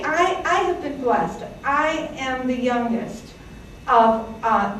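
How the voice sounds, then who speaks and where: Woman, 60s, from Greece, high voice, chatty storytelling, echoey hall, distant mic. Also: a little hiss or hum. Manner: chatty, with fillers and self-deprecating laughs. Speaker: presenter. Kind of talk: personal history talk to an audience.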